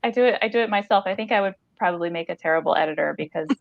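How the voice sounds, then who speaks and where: A woman's voice: on the low side, racing, gravelly, dry recording. Female podcast co-host, casual literary chat, conversational, dry but slightly muffled sound. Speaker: podcast co-host discussing a short story. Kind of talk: casual book discussion.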